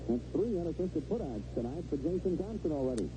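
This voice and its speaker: A man's voice: a little high, very animated, quick pace. A man calling baseball play-by-play live on a noisy vintage seventies radio broadcast. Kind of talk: live sports commentary.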